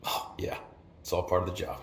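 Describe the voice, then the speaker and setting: A man's voice: fairly quick, close to an everyday voice. A man playing a friendly, irreverent security chief in a video game, talking casually and wryly and swearing freely. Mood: easygoing. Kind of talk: naturalistic video game dialogue. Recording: roomy echo, background noise.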